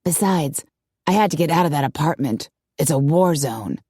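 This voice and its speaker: Woman putting on a low, sardonic gossipy woman. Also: lively intonation, normal speed, warm tone, slightly rough, no echo, clean studio sound. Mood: blasé. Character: sardonic, dramatic young woman with attitude, gossiping gleefully.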